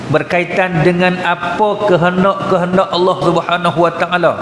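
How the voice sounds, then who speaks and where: A man with a northern Malay Kedah accent giving a colloquial, explanatory mosque lecture as an Islamic teacher, often checking in with short questions. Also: on the high side. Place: mosque hall. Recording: echoey hall, reverberant, noticeable background noise.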